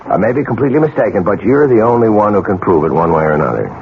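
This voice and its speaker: An actor playing a grave, insistent investigator in a 1970s radio drama, measured and probing. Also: male voice, fairly low pitch, animated. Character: grave, insistent investigator bearing bad news. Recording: phone-like old radio broadcast.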